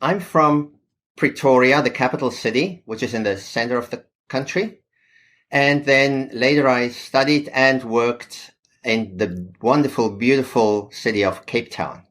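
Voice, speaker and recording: A man in his fifties, a podcast guest who talks thoughtfully and pauses on fillers. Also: mid-range voice, a bit bright. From South Africa. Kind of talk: podcast interview.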